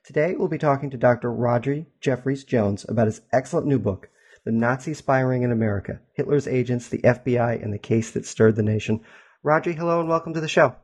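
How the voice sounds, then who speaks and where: A man's voice: mid-range voice, brisk, a little mellow, breathy edge. Hesitant male podcast host. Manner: hesitant, many ums. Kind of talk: interview hosting.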